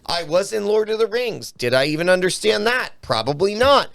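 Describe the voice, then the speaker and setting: A man's voice: high-pitched, very animated, normal speed, gravelly, fairly bright tone, no room sound, studio quality. A man co-hosting a podcast in casual banter, wry and insistent, repeating himself to make his point. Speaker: podcast co-host.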